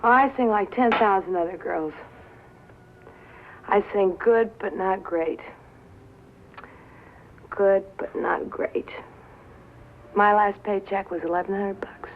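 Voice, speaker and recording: An actress playing a tough, bitter young nightclub singer who drinks, with an American accent and a sardonic, drawling delivery, in a 1950s film drama. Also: female voice, mid-range voice, slow pace, pauses often. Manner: sardonic, drawling, dwelling on and repeating words. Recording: tinny, hissy 1950s film soundtrack.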